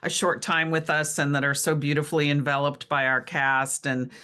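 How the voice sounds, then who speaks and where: A woman's voice: deep voice, little pitch movement, normal speed, a crowd in the background. A woman hosting a podcast interview in a casual, informal and enthusiastic way.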